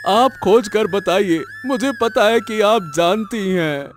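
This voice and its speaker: A man's voice: sits high, slow pace, faint music behind. A man voicing a desperate, grieving village father in a melodramatic cartoon story, with an overwrought delivery that repeats words. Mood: pleading.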